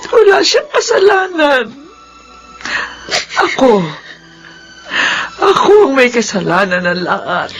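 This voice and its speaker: A woman's voice: sits high, sing-song, slow, pauses often, faint music behind, heavily acted. A woman playing an elderly, tender, guilt-ridden grandmother in a Filipino radio drama. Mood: gentle, pleading.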